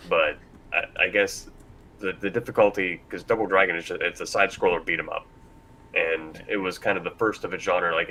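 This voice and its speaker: A man with a very low yet forceful, bright voice talks casually as a panel moderator. His delivery rambles and halts, picked up live with faint background noise. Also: low-quality recording. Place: convention panel room.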